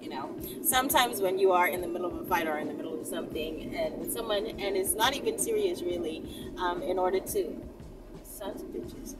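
A woman's voice: lowish voice, very animated, fairly quick. A woman in her thirties chatting casually and candidly to camera, answering viewer questions while driving. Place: inside a moving car, daytime. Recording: distant mic, loud car road noise. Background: vehicle and road noise.

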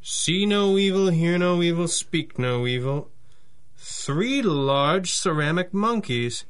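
An actor playing a stern, confident masked pulp hero, with a formal, declamatory delivery in a 1930s-style radio drama. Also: male voice, a little high, animated, slow, smooth. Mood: assured.

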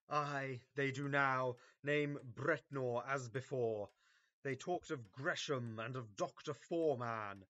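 A self-important gentleman played by a man holds forth slowly in a forceful, highish voice. He declaims theatrically in an old stage comedy audio drama, close and dry on a slightly dull recording. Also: no room sound. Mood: scornful. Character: pompous, self-absorbed gentleman longing to meet the devil. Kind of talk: early modern stage comedy monologue.

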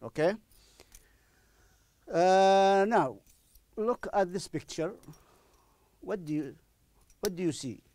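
A middle-aged man with an Arabic accent, a native Arabic speaker, teaching an English lesson deliberately and repeating phrases for emphasis. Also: high-pitched, expressive, darkish tone, no room sound. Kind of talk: recorded classroom-style lesson. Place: indoor studio in front of a presentation screen. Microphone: lapel microphone.

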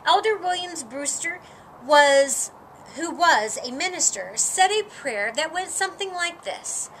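A woman's voice: very high-pitched, lively intonation, a little slow, a bit bright, slight background noise, full character voice, low-quality recording. A woman voicing an earnest, helpful, scholarly little boy in a storybook, explaining things. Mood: solemn. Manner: explaining, encouraging.

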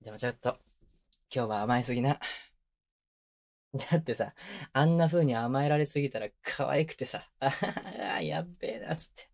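A man playing a tender young boyfriend who teases and mock-scolds in casual, rough-edged speech, in an intimate binaural ASMR audio drama. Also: expressive, moderate pace, long pauses. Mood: doting. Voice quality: medium-low voice. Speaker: voice actor. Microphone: close binaural microphone.

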